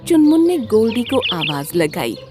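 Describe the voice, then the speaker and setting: A woman narrating a scripted children's story as an expressive storyteller. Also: fairly high pitch, moderate pace.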